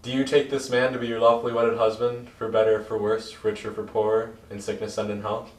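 A man's voice: on the low side, flat delivery, fairly quick, a little hiss or hum, natural voice. A man playing a solemn priest reciting wedding vows in a puppet show. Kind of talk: scripted puppet show dialogue. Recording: echoey, distant mic, faint music.

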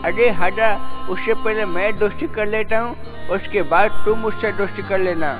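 A man's voice: sits high, fairly even intonation, normal speed, lightly acted, poor audio. A man voicing a bulky, earnest, put-upon boy in a children's cartoon, speaking in simple, emphatic exclamations. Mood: friendly, eager. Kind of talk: Hindi children's cartoon dubbing. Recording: music behind the voice.

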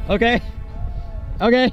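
Male travel vlogger in his 30s: high, animated voice, laughing nerves, selfie-camera mic outdoors. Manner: excitable, laughing, nervous of heights. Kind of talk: casual travel vlog. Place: outdoors on a wooden boat and island hill. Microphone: handheld camera at arm's length. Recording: loud background music.

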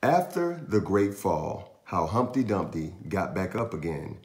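A man in his thirties reading a picture book aloud to young children, with deliberate, dramatic storyteller pauses. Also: deep voice, big pitch swings. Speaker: children's storytime host and reader. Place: small indoor room in a house. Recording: roomy echo, faint music.

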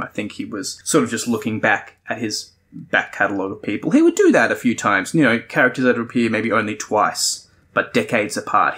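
A man with a slightly low voice presents a podcast review. He explains his points thoughtfully, moving between conversational talk and more scripted delivery. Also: a bit bright. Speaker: podcast host.

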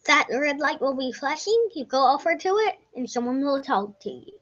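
A woman's voice: sits high, animated, normal speed, a bit bright. A young woman giving casual, rambling commentary over a computer game, correcting herself as she goes and sometimes dropping to a whisper. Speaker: gameplay narrator. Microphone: desk microphone. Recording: dull lo-fi home mic.

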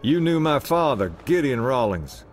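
Man playing an earnest mid-pitched soldier. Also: sing-song, normal speed, faint music behind, natural voice. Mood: surprised, earnest. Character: earnest special forces soldier, son of an old comrade. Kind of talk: video game cutscene acting.

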